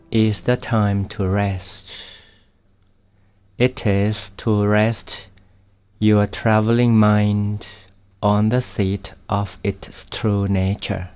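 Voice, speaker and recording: A man, a Buddhist meditation guide speaking in a soft, gently instructive way with long pauses while leading a guided meditation. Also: fairly low pitch. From Thailand. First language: Thai.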